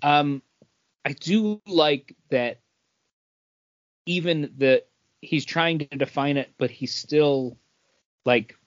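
A man's voice: a little high, slow, pauses often, dry recording. A man hosting a podcast in a casual, conversational review of comic books. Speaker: podcast host. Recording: dull, dry lo-fi sound.

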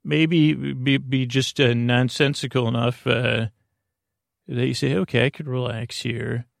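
A man hosting a sleep podcast, wandering through a silly, halting bedtime monologue full of restarts and asides. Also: mid-range voice, steady tone, creaky, no room sound, studio quality. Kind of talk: rambling, meandering bedtime monologue.

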